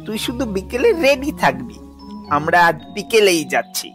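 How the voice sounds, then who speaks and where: A woman voicing a mischievous, eager young boy in an animated audio story, cheeky, bossy and excitable. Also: mid-range voice, normal speed, over loud music, natural voice. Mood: cocky, eager.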